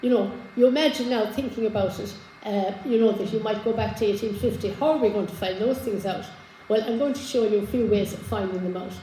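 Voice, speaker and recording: A hoarse woman giving an informal genealogy talk to a live audience, chatty, with many fillers and restarts. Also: mid-range voice, fairly quick, dark tone, echoey room, a little hiss or hum, poor audio. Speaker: genealogy speaker. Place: community hall with an audience. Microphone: handheld microphone.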